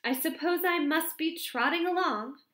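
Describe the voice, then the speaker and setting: A woman's voice: very high voice, normal speed, fairly bright tone, voice pushed up. A woman voicing a chatty, arch young secretary who gushes and gossips in an audiobook reading. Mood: gossipy. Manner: chatty, breathless, exclamatory. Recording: roomy echo.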